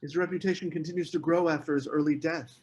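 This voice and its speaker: Man playing a sardonic academic, slightly high voice. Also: fairly even intonation, quick pace, darkish tone, dull audio, lightly acted. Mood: earnest. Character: prickly, snobbish American academic, sardonic and awkward. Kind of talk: screenplay table read.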